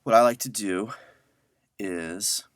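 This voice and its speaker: A middle-aged man giving a casual audio software tutorial, talking informally and thinking aloud as he works. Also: fairly low pitch, takes it slowly, a little hiss or hum. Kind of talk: casual screen-share tutorial.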